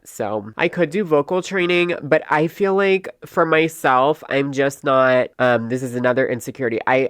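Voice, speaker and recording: A man's voice: mid-range voice, a bit bright, smooth, dry recording, clean studio sound. A man talking earnestly about his voice in a personal vlog, reflective and searching, often checking in with "right?". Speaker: creator in a clip being reacted to.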